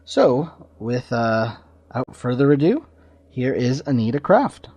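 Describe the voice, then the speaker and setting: A man's voice: mid-range voice, sing-song, unhurried, a little mellow, husky. Dull-sounding lo-fi male podcast host. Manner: informal, slightly rambling. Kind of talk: casual podcast introduction. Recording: slightly dull lo-fi audio.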